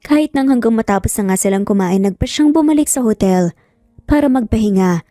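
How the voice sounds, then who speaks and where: A Filipino woman narrates a scripted romance. Her voice is mellow and a little high, recorded dry and close, with soft music underneath. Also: animated, brisk, no echo. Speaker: story narrator. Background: background music.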